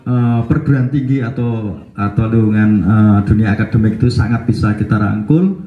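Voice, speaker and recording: A man's voice: on the low side, moderate pace, phone-quality sound. A male talk-show host from Central Java who listens attentively, agrees often and sums up his guest's points. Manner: attentive, frequent short agreements, summarizing points. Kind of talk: moderating a conversation. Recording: echoey room.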